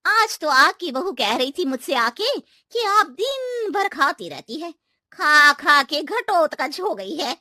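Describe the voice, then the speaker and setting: Woman playing a shrill, sly gossiping neighbour. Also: big pitch swings, slow, bright, forceful tone, no room sound, studio quality, heavily acted. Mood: conspiratorial. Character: sly gossiping female neighbour stirring up family quarrels. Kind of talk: animated cartoon dialogue in Hindi.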